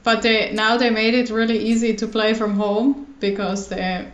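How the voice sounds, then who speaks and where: A woman's voice: on the high side, flat delivery, moderate pace, lo-fi sound. A woman guest chatting casually and eagerly on a podcast. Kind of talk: casual chat. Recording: echoey room, faint noise.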